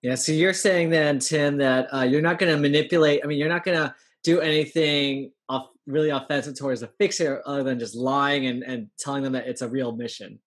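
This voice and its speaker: A man acting as game master, calmly reminding players of the rules and prompting their plans. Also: mid-range voice, a little fast, hardly any pauses. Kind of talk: running a tabletop game over a call.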